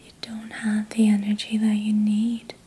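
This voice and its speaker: A young woman whispering gently and reassuringly in a guided ASMR relaxation, leaving long pauses. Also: medium pitch, monotone, slightly rough. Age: young adult. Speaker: ASMR host doing a healing roleplay. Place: small indoor room at night.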